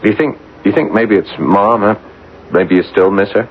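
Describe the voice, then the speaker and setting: An actor playing a concerned, affable grown son who runs a company, speaking conversationally in a radio drama. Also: male voice, on the low side, normal speed, natural voice. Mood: cautious, solicitous. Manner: conversational, solicitous. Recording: dull, noisy vintage radio recording.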